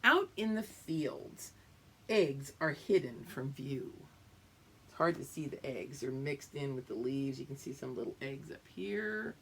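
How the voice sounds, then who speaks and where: A woman's voice: extremely low pitch, vocal fry, slight background noise. A woman teacher reading a picture book aloud to young pupils, drawing out the story and explaining it as she goes. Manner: expressive, drawn-out reading with teacherly explanations and check-ins.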